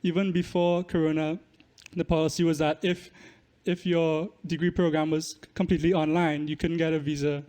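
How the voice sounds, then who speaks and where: A man's voice: on the high side, little pitch movement, normal speed, few pauses, dark tone. A young man, a podcast guest explaining things in casual interview talk. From Trinidad and Tobago. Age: young adult. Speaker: podcast guest, musician and student.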